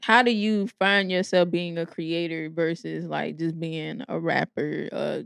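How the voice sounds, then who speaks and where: A man's voice: a little high, little pitch movement, a little slow, creaky, a bit bright, smooth, dry recording, studio quality. A man hosting a podcast, asking friendly, curious questions in a casual, slangy way. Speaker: podcast host. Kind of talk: casual interview chat.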